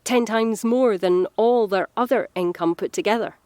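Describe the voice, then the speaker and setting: A woman's voice: mid-range voice, normal speed, a little mellow, no echo, natural voice. An actress playing a frank, caring working woman, explaining things earnestly in a naturalistic audio drama.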